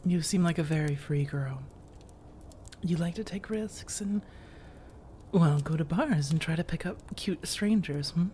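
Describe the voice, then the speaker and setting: Woman acting as a coaxing female vampire, dark mellow tone, soft and breathy, teasing, intimate ASMR roleplay, some background noise. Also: deep voice. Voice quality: soft, breathy delivery slipping into whispers. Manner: teasing, measured, intimate, with deliberate pauses. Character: flirtatious, coaxing female vampire seeking a roommate. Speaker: audio roleplay performer.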